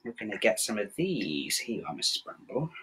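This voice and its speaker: A man's voice: lowish voice, sing-song, fairly quick, sharp tone, a little hiss or hum. A young man hosting a children's cooking demo in a chatty, encouraging way. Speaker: children's show host. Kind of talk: cheerful kids' cooking demo.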